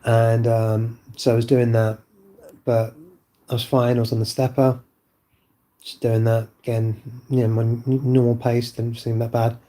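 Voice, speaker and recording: A man vlogging from home while unwell, his voice lowered by illness, rambling and hesitant with many fillers. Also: level tone, measured pace, warm tone, low-quality recording. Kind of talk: casual monologue to camera. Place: at home indoors.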